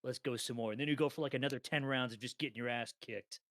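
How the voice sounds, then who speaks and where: Animated, swearing man in casual gaming chat. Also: medium pitch, fast, no room sound, clean studio sound. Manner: laughs often, animated storytelling, swears casually. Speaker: co-host.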